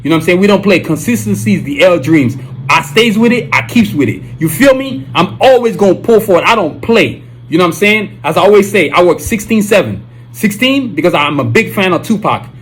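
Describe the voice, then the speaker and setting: Animated Nigerian man hosting a podcast. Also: high-pitched, brisk, soft background music, rough recording. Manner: animated, repetitive, keeps saying "you know what I'm saying". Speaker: podcast host. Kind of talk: casual podcast outro with sponsor reads.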